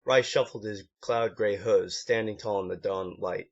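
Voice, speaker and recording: A man's voice: fairly low pitch, steady tone, moderate pace. A man reading a story aloud as an amateur, in one take, now and then stumbling and correcting himself. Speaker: amateur fan fiction reader. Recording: dull lo-fi home recording.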